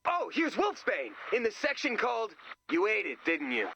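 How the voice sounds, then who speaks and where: A man voicing an excitable, naive, nerdy sidekick in a video game, earnest, chatty and goofy. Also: high-pitched, normal speed, creaky, slight background noise, heavily acted. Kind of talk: video game character voice lines.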